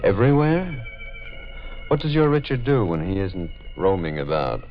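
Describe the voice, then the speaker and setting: A man's voice: sits low, normal speed, soft background music. An actor playing a polite, curious male stranger in a 1940s radio drama. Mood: courteous, puzzled. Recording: dull lo-fi 1940s radio recording.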